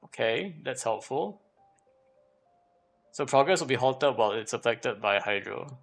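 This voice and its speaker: A man's voice: medium pitch, expressive, unhurried, creaky, a bit bright, faint music behind. A man giving casual live gameplay commentary, thinking aloud as he repeats and questions himself. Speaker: game livestreamer.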